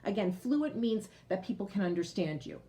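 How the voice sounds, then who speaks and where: A woman reading a picture book aloud to children like a teacher, expressive, pausing to explain and put questions directly to young listeners. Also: mid-range voice. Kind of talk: storybook read-aloud with explanatory asides.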